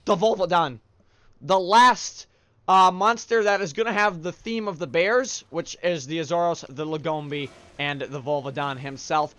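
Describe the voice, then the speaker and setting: A man's voice: a little high, normal speed, no room sound. Chatty young man with a stuffy nose. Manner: upbeat, chatty, shout-outs with many fillers. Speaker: gaming channel host. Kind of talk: casual let's-play commentary.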